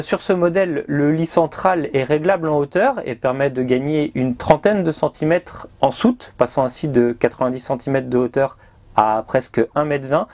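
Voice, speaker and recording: A man, presenting a vehicle review in a measured, explanatory way into a lapel mic. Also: on the high side, a little mellow. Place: outdoors by a river and inside a motorhome.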